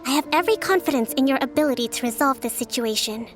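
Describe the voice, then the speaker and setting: Actress as composed young female leader, high voice, courteous and formal, shrewd; game dialogue over music. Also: lightly acted. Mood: courteous, firm. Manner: polite, measured, formal. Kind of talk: video game voice acting.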